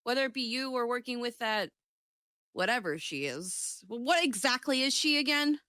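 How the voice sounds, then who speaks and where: A woman co-hosting a podcast with casual banter, animated and playful, swearing freely. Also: a little high, moderate pace, no room sound, clean studio sound. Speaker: podcast co-host.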